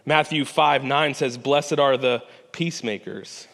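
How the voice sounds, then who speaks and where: A man's voice: mid-range voice, measured pace, creaky. A man preaching a sermon as pastor, earnest and conversational, often restarting phrases and checking in with "right?". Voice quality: loud voice. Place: church sanctuary. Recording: hall reverb.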